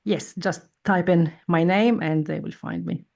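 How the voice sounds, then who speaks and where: A woman in her forties, a psychologist guest speaking in a measured, thoughtful way in an interview. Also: fairly low pitch, steady tone, vocal fry, darkish tone. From Lebanon. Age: 40s. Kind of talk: interview conversation.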